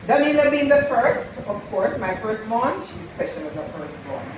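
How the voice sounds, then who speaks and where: A woman, the host's mother, fondly telling stories about her daughter's childhood at an unhurried pace and repeating herself for emphasis. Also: fairly high pitch, sing-song. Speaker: mother of the channel host, guest. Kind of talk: casual storytelling. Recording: echoey room, distant mic, noisy.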